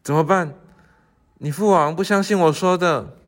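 A man's voice: high voice, moderate pace, faint music behind, close to an everyday voice. A young man playing an earnest, hesitant young scholar in love, in an amateur radio drama. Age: young adult. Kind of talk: student radio drama acting.

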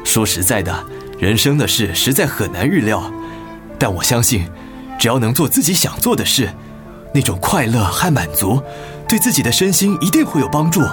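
An actor with a Taiwanese accent playing a middle-aged husband in a radio drama, earnest, gentle and apologetic as he tries to win back his wife. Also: mid-range voice, very animated, moderate pace, lightly acted. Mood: earnest, encouraging. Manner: gentle, pleading. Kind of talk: Mandarin radio drama acting. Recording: music bed under the voice.

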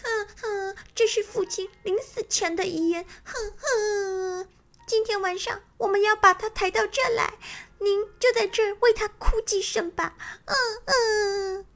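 A woman voicing little crocodile children who sob and whimper in high voices. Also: fairly even intonation, a little slow, fairly bright tone, heavily acted. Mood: fake crying. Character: young crocodile children, fake sobbing.

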